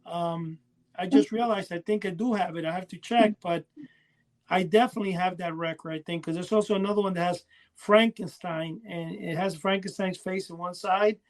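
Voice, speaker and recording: A man with a noticeably high voice talks in a loose, conversational way, like a collector on a podcast. He rambles, doubling back and drifting away from his own point. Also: steady tone, normal speed. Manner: rambling, often losing his thread. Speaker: podcast co-host. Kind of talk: casual chat about collecting.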